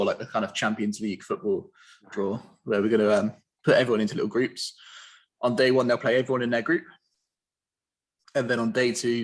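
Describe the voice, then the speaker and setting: A man hosting an online interview, explaining a tournament in a relaxed, filler-heavy way. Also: fairly low pitch, rough recording. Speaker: host.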